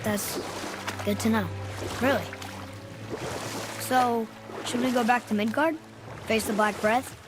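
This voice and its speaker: A man's voice: extremely high pitch, steady tone, slow, long pauses, music in the background. A young male actor playing a sullen, curious boy in a video game, with an informal, snarky way of talking. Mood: subdued, curious. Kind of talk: video game cinematic acting. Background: ambient noise.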